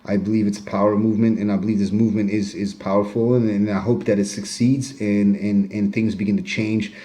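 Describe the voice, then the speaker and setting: A middle-aged man talks in a low, mellow voice. He rambles loosely, with many "you knows" and casual swearing. He speaks from his home kitchen. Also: flat delivery, brisk. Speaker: rapper interviewee. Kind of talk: casual self-recorded interview.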